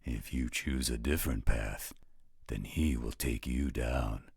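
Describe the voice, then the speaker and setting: A man voicing a gruff, blunt, protective werewolf guardian with a husky voice in an audio roleplay drama. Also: darkish tone, no room sound, clean studio sound, exaggerated voice. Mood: defiant, blunt. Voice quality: very low, husky rasp. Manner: slow, blunt, coarse-spoken.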